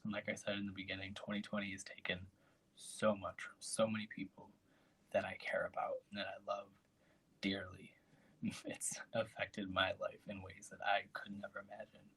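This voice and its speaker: A man hosts a casual live stream, speaking. His voice is deeply husky and raspy, set a little low, and often drops to a near whisper. He talks softly and rambles, with trailing asides as he chats with his viewers. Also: completely flat delivery, normal speed, poor audio.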